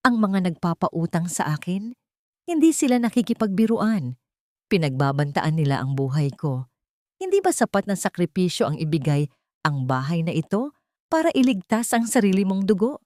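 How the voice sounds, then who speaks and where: A woman's voice: on the low side, big pitch swings, normal speed, dark tone, no echo, studio quality. A woman voicing a manipulative, impatient grown son who pleads and guilt-trips in an audio drama. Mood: pleading.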